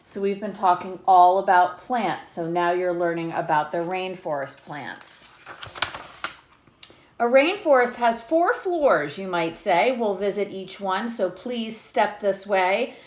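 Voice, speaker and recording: A woman reading a children's picture book aloud like a teacher, with expressive delivery and short explanatory asides to young listeners. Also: mid-range voice, takes it slowly.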